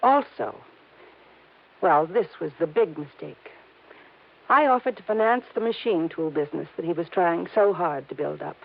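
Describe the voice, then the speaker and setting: A woman's voice: lowish voice, expressive, normal speed, vocal fry. An actress playing a worried, earnest young wealthy woman in a 1950s radio drama, on a tinny old broadcast recording. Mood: anxious. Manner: anxious, hesitant, earnest.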